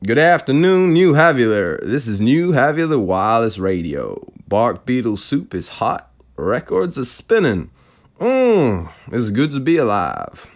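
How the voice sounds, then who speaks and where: A man's voice: medium pitch, very animated, a little slow. A man voicing a relentlessly jovial, theatrical American radio presenter in an audiobook reading. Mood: jovial, upbeat. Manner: theatrical, showy.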